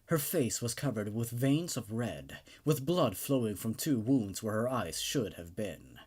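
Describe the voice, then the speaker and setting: A man hosting and narrating horror stories in a theatrical, expressive way, with casual asides. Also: fairly low pitch, a little slow, breathy edge. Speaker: host and narrator of a horror story reading show.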